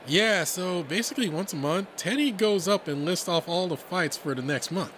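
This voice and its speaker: A man puts on an exuberant young beast-man fighter for a story. The voice sits high and bounces around in pitch, full of friendly bragging and slangy teasing. Some background noise sits behind it. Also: expressive, normal speed, a bit bright. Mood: explaining.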